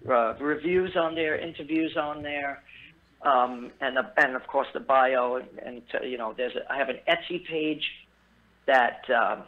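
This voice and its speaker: A man as a guest musician, chatting in a rambling, upbeat way with many fillers and restarts, heard over a phone call. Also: a little high. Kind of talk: casual interview chat. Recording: tinny phone line.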